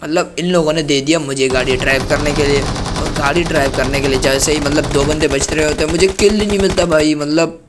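A hoarse young man with a cold from Pakistan, giving chatty, playfully indignant commentary over his own gameplay as a gaming channel host. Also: on the high side, steady tone, brisk, very noisy.

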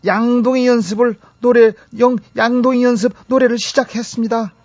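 A man's voice: very high voice, flat delivery, moderate pace, darkish tone, no room sound, highs cut off. A man voicing a hapless, self-pitying female office clerk who tells her story plaintively in a radio dramatization. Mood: flustered.

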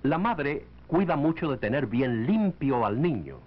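1940s film narrator, man, expressive swooping pitch. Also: a little slow. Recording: dull lo-fi 1940s film soundtrack.

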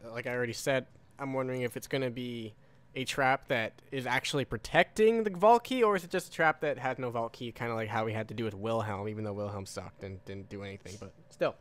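A man's voice: mid-range voice, a bit bright, slight background noise. A man giving casual live gameplay commentary, offhand and profane, musing aloud. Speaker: gamer recording a walkthrough.

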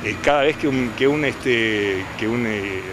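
A man's voice: mid-range voice, measured pace. A man in his sixties, a defense lawyer talking to reporters outdoors into handheld mics, insistent and full of rhetorical questions. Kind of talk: press interview. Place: outdoors by a detention unit. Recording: outdoor street noise.

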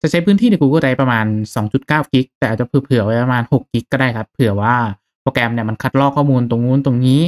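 Guy, mid pitch, doing a chatty step by step computer tutorial voiceover. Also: fast, few pauses, a little mellow. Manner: instructional, conversational with polite particles. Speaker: tutorial host. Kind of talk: casual step-by-step software tutorial.

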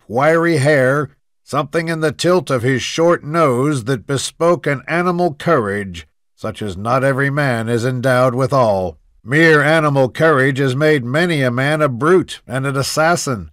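Picture a frontier lawman of the Old West, acted out by a man, telling his memories in a dramatic reading. He is plainspoken and deliberate, with a literary turn of phrase. The voice sits at a medium pitch in a very dry recording. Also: a bit bright, no room sound, natural voice. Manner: measured, deliberate, literary storytelling. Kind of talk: dramatic reading of printed quotes.

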